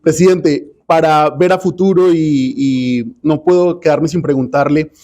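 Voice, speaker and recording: A man's voice: on the high side, measured pace, dark tone. A man from Colombia with a Colombian accent, asking a question at a public talk in a deferential, hesitant manner. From Colombia, Villavicencio. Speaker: audience member asking a question.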